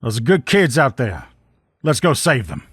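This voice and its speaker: A man voicing a gruff, loyal military lieutenant in a video game, with blunt, profane, folksy soldier talk. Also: medium pitch, very animated, normal speed, a bit bright, dry recording, studio quality. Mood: earnest, urgent. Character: gruff, loyal military lieutenant in power armor, protective comrade. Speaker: voice actor in a game mod. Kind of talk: video game character dialogue lines.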